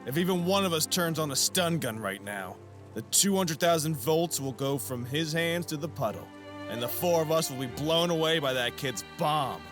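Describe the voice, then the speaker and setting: A man's voice: fairly high pitch, normal speed, close to an everyday voice. A man playing a cocky, violent gang leader in an audio manga drama, sneering and menacing, with music behind. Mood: smug menacing.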